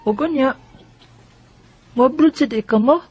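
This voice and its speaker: A woman's voice: sits high, a little slow, darkish tone, husky, soft background music. A woman playing a naive, worried child who asks plaintive questions in a radio drama, with a Bisaya Filipino accent. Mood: shocked, troubled. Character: naive, worried child confiding family secrets.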